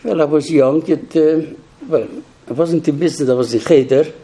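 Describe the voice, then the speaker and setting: Halting elderly man with a dark, husky voice. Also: a little high. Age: older, 80s or 90s. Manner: slow, halting, reflective, with restarts. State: hard of hearing. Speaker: survivor giving testimony to young people. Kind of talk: personal testimony talk.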